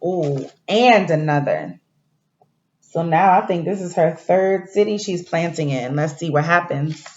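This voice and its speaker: A woman teacher giving a read-aloud lesson to young pupils, speaking in a deliberate way and addressing the children directly. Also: fairly low pitch. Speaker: elementary school teacher. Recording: dull lo-fi recording.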